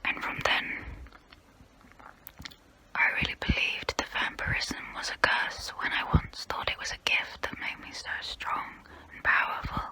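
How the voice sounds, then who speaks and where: Woman playing a slowly whispering, guilt-ridden female vampire. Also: sharp tone, rough recording. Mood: remorseful. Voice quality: breathy whisper. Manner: slow, halting, intimate, soothing. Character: guilt-ridden centuries-old female vampire, gentle yet predatory. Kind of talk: whispered ASMR role play. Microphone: close-up microphone.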